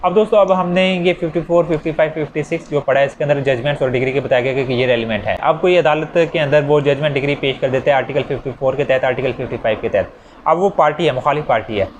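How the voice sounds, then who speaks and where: A man's voice: medium pitch, a little hiss or hum, rough recording. A man with a Pakistani accent giving an informal step-by-step law lecture, repeating key phrases and mixing in English legal terms. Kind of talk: explanatory lecture with worked examples.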